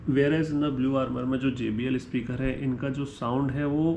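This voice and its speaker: A man's voice: mid-range voice, fairly even intonation, a little slow, dark tone. A man with an Indian accent giving an unscripted, explanatory comparison review, switching between Hindi and English and checking in with the listener as he goes. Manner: ends points with a checking "right?". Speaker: motorcycle gear reviewer. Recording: echoey room with faint background noise.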